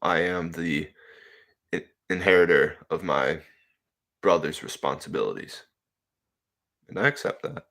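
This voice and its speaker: A young man in his twenties with a deep, low voice talks loosely to his livestream chat. He rambles in a laid-back way, reads comments aloud, and laughs easily between thoughts. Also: measured pace, long pauses, fairly bright tone. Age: 20s. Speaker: livestream host reading chat. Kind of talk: casual solo livestream chat.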